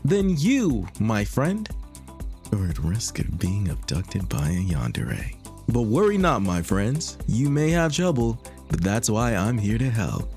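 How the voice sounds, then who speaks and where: A man's voice: fairly low pitch, huge pitch swings, measured pace, darkish tone, soft background music, full character voice. A man playing an upbeat, slick parody infomercial host, with theatrical sales patter and exaggerated emphasis. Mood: salesy, urgent. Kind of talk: comedic scripted infomercial roleplay.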